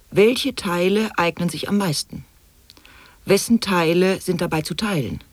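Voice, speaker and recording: A woman's voice: on the low side, dry recording. An actress playing a formal, hair-splitting woman reciting convoluted, absurd wordplay in an avant-garde radio play. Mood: formal, inquiring.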